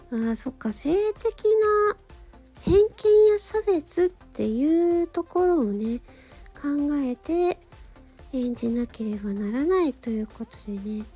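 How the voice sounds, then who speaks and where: A woman hosting a solo radio-style talk, speaking politely and gently in short, halting phrases. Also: sits high, big pitch swings, faint music behind. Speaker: radio show host and amateur voice actor.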